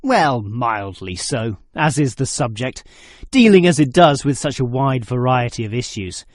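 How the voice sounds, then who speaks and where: A man acting a critical, precise university student in a scripted exam dialogue, speaking deliberately. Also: medium pitch, very animated, dry recording. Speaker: student in a listening test dialogue.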